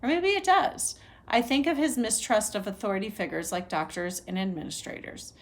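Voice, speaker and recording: A woman's voice: medium pitch, fairly quick. A woman reads a children's novel aloud in an ordinary mid-range voice. She narrates expressively, like a teacher with a class book, and gives the dialogue some life.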